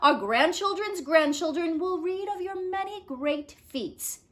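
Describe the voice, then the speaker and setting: A woman as a grandiose, high-pitched storybook statesman. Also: lively intonation, a little slow, fairly bright tone, exaggerated voice. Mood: grandly effusive. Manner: declamatory, effusive. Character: grandiose male statesman proposing a flattering toast. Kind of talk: children's storybook read-aloud.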